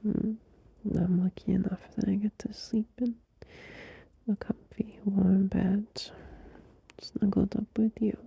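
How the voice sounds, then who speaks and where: Male performer as a tender young boyfriend at night. Slow, breathy whisper with gravelly fry, close and dry. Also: sits high, little pitch movement, dry recording, exaggerated voice. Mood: tender, sleepy. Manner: soft drowsy whisper, unhurried, with small laughs. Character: gentle, loving young boyfriend soothing a partner at night. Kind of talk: ASMR audio roleplay. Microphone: close mic.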